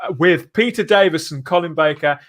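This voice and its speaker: A man's voice: on the high side, fairly quick, a bit bright. A man hosting a casual live podcast, chatting through news and comments with frequent rhetorical tag questions. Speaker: podcast host.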